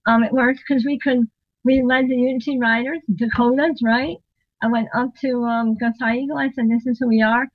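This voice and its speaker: A woman guest spins an animated story, acting out the dialogue and laughing along. Her voice sits fairly high. The sound is dry and a little dull, as if coming over a remote line. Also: normal speed, no room sound. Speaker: interview guest, drummer and singer. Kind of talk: storytelling in a casual interview.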